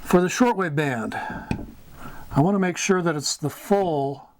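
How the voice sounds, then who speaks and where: A middle-aged man giving a casual tutorial as host, speaking haltingly in short phrases with pauses. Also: on the high side. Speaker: host of a hobby radio tutorial. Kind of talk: casual whiteboard explainer. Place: small wood-paneled indoor room. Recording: clear background noise, room ambience.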